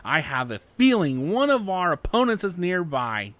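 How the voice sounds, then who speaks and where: A proud samurai warrior in an audio story, read by a man. He speaks with stern, solemn authority in a slightly high male voice, recorded close and dry. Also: very animated, moderate pace, fairly bright tone, dry recording.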